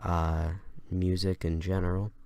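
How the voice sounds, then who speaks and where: A man giving a casual, unscripted software tutorial in a hesitant, halting way. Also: low voice, level tone, vocal fry. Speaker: tutorial host.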